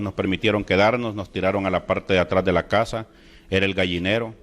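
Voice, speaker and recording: A middle-aged man from El Salvador with a Salvadoran Spanish accent, slowly and solemnly telling his personal religious testimony. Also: lowish voice, fairly bright tone. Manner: slow, deliberate, solemn storytelling with emotional rises. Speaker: testimony speaker.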